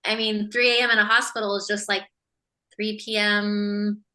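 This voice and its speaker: A woman co-hosting a podcast, chatting casually and relaxed. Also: mid-range voice, moderate pace. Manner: relaxed, laughs easily. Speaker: podcast co-host. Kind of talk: casual chat.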